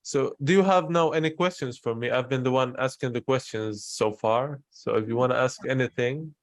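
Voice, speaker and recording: A man's voice: medium pitch, normal speed, dry recording. Young man talking candidly, close dry sound. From Syria. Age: young adult. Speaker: interviewer in a conversation. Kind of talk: candid personal conversation.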